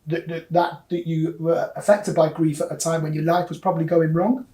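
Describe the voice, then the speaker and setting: A man's voice: on the high side, flat delivery, normal speed, mellow. A man hosting an interview, asking questions in a conversational way and sometimes repeating words as he starts. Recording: roomy echo.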